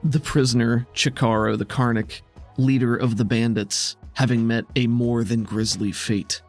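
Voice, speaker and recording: A man in the game master's seat of a roleplaying podcast. His voice sits at a medium pitch as he describes scenes and guides play, with music faintly underneath. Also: little pitch movement, normal speed. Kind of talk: narrating a tabletop game podcast.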